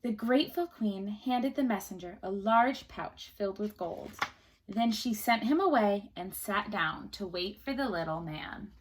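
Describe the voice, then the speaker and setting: A woman's voice: medium pitch, lively intonation, a little slow, a little hiss or hum, poor audio. A woman teacher reading a story aloud to children, expressively, with chatty questions to the listeners.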